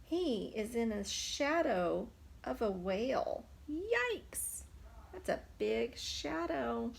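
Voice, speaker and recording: A woman's voice: a little high, sing-song, takes it slowly, vocal fry, breathy edge. A woman preschool teacher reading a picture book aloud, expressively, putting simple questions to young children. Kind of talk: children's storytime read-aloud.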